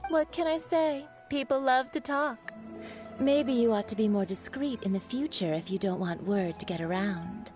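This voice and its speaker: Actress as flirtatious, teasing perfume maker. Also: female voice, fairly high pitch, moderate pace, natural voice. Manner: coy, playful, knowing. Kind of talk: video game voice acting. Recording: music behind the voice.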